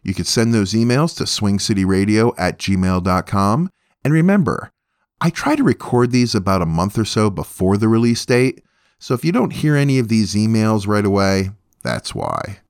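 A man's voice: fairly low pitch, sing-song, normal speed, dry recording, clean studio sound. A man hosting a podcast, speaking in a scripted narration style to his listeners. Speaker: podcast host.

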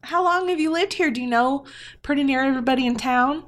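A woman's voice: sits high, fast, natural voice. A woman playing a polite, curious adventurer with a Southern accent, asking hesitant questions.

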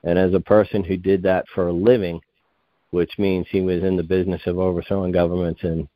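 A man's voice: low voice, little pitch movement, fairly quick, no room sound, dull audio. A man in his fifties, a guest researcher, talking in a meandering, digressive way that keeps correcting itself mid-sentence. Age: 50s. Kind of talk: rambling conversational interview.

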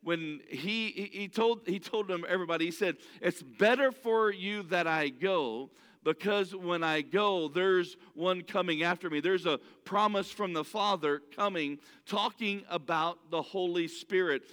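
A man preaches with earnest conviction, often stumbling and restarting his sentences, and English is not his first language. His voice sits high and rings smooth and resonant. A long reverb hangs behind him, as in a church hall. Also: a little slow, few pauses, a bit bright. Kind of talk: sermon.

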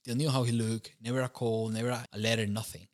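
Young Costa Rican man, Spanish accent, podcast guest, easygoing, quick to laugh. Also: mid-range voice, level tone. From Costa Rica. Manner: warm, laughs often, restarts phrases. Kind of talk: conversational interview.

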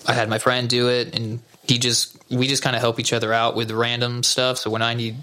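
A man's voice: mid-range voice, fairly quick. A man, a musician guest, talking casually and loosely about making his band's song and video. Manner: relaxed, rambling with fillers. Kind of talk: casual interview chat.

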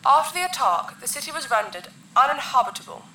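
Commanding insectoid alien queen, regal and formal, bright high voice, sci-fi audio drama. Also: very animated, roomy sound, distant mic, slight background noise, full character voice, low-quality recording. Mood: grave. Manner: formal, imperious, measured phrasing.

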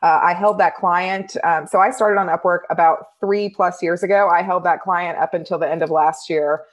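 Woman podcast co-host, low voice, measured and steady, lots of ums. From United States. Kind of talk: casual podcast chat.

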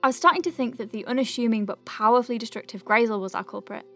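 A high-pitched female voice from an actress plays a young doctor in an audio drama. She is wry and soft-hearted, dictating clinic notes in a chatty, informal way. Music runs behind her. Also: fast talker. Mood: earnest, explanatory. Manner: chatty, wry, hesitant asides. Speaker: lead voice actor.

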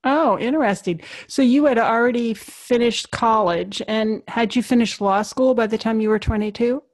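A woman's voice: fairly high pitch, normal speed. A woman hosting a podcast, polished and upbeat as she introduces her guest and asks questions. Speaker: podcast host. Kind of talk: scripted intro then interview questions.

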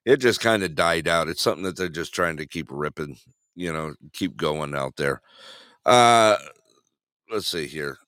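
Deep, lively-voiced chatty male host. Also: measured pace, no echo, clean studio sound. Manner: rambling, chuckling, reads viewer chat. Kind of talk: casual live-stream chat.

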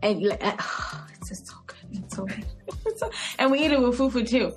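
A woman's voice: a little high, big pitch swings, a little fast, over music. A woman in her thirties from Cameroon with a Cameroonian English accent, chatting expressively as a podcast guest with frequent fillers. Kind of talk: podcast interview, casual chat.

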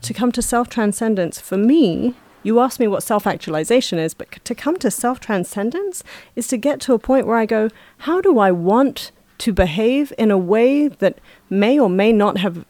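A woman's voice: on the high side, animated, normal speed, few pauses, darkish tone, no echo. A woman talking as a podcast guest in casual conversation, reflective and explaining her views at length. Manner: reflective, talks at length in long flowing explanations. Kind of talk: casual chat.

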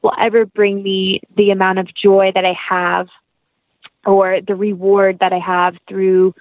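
A woman's voice: mid-range voice, level tone, a little slow, dry recording. A young woman as an interview guest, chatting in an earnest, heartfelt, rambling way. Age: young adult. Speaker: podcast guest, actress. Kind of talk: casual interview chat. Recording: tinny phone-like line.

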